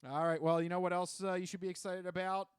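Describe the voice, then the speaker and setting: A man's voice: sits high, little pitch movement, quick pace, clean tone, no echo. A man hosting a podcast with casual, playful banter and news reading, close on the microphone. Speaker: podcast host.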